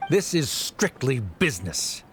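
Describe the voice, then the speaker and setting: Pompous official with swooping intonation. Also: male voice, mid-range voice, sing-song, unhurried, soft background music. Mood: cold, dismissive. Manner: pompous, cold, formal. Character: stern, condescending male academy official, a cold father. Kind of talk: video game voice acting.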